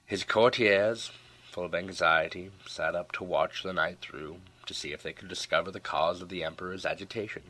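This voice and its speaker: A man narrating an audiobook legend in a measured, expressive storytelling manner. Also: deep voice, rough recording.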